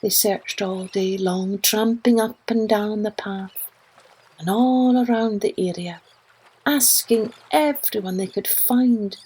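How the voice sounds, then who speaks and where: Lady telling a kids' folk tale, slow and husky, expressive. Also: medium pitch, poor audio. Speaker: storyteller, podcast host. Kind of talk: children's story narration.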